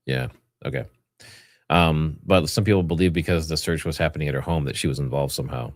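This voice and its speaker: Man, deep voice, dry close sound, livestream host, casual rambling commentary. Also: fairly quick, no room sound. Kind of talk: casual livestream commentary, partly reading notes aloud.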